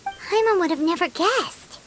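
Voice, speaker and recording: An actress voicing a tiny, excitable, childlike female companion in a video game, squeaky, blunt and curious. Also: unhurried, slight background noise, exaggerated voice. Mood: surprised. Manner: squeaky, speaks of herself in third person.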